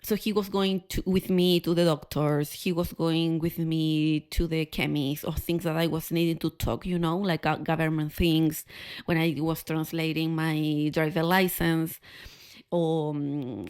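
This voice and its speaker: A woman from Chile with a Chilean Spanish accent tells personal stories to camera in an expressive, candid way, often repeating words for emphasis. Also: low-pitched, clean tone. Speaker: podcast host.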